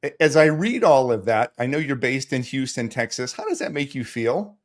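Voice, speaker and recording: A man's voice: medium pitch, big pitch swings, normal speed. A man hosting a podcast, reading a guest introduction and then chatting in an upbeat, conversational way. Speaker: podcast host. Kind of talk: conversational interview.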